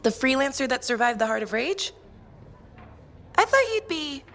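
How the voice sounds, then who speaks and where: An actress playing a feisty, impulsive young female city guard in a video game, brisk and eager. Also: high voice, big pitch swings, vocal fry, a bit bright, slight background noise, exaggerated voice. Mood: intrigued. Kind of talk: video game cutscene acting. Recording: faint background music.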